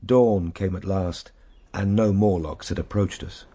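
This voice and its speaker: An actor playing a reflective Victorian gentleman recounting his ordeal, in measured, formal radio drama narration. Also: low-pitched, steady tone. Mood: grave, reflective.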